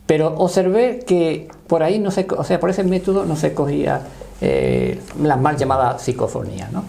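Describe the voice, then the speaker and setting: A man in his sixties with a Spanish accent explains and recounts his experience in a halting, conversational way, often checking he is understood. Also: on the high side, animated, creaky, dark tone. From Spain. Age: 60s. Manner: halting, often seeking confirmation. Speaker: interview guest, paranormal voice researcher.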